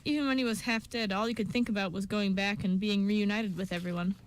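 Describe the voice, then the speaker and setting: A woman's voice: mid-range voice, fairly even intonation, quick pace, rough recording. A woman reading scripted story narration for an amateur radio show, with an occasional stumble.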